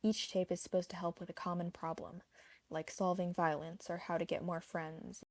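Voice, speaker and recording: Low-pitched woman narrating, creaky voice. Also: level tone, normal speed, no room sound. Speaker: narrator of a video essay. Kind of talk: scripted explainer narration with personal opinions.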